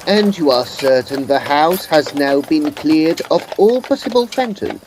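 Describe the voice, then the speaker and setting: A man's voice: fairly high pitch, animated, a little slow. A man playing a grave, inquisitive Edwardian occult detective in an audio drama, formal and probing. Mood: inquisitive, intent. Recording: clear background noise and clip-clop. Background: horse's hooves of a cab.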